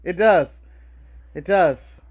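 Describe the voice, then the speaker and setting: A man's voice: sits high, very slow, a little mellow. An American man co-hosting a podcast, talking in casual live banter. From United States. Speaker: podcast co-host.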